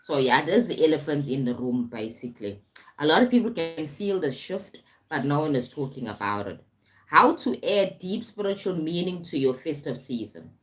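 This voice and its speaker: Low-pitched South African woman, emphatic life coach. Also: fairly bright tone. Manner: emphatic, repeats phrases, often checks with "right?". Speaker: life coach and motivational speaker livestreaming. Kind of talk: informal motivational livestream talk.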